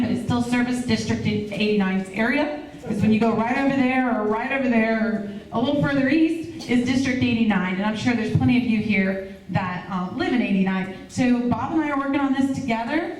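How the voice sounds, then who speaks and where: Upbeat woman announcing in echoey hall. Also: on the high side. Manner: upbeat, persuasive invitation. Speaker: campaign manager. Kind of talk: announcement at a community meeting. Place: community center room. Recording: echoey room, far from the mic, room noise.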